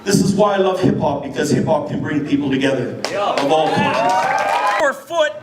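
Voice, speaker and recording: A middle-aged Canadian man with a high voice tells a story on stage in a loose, slangy, animated way. He is heard in an echoey space with an audience crowd around him. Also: moderate pace, distant mic. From Canada, near Detroit on the Canadian side. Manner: animated, slangy, informal, acts out dialogue. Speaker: b-boy storyteller. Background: audience crowd and laughter.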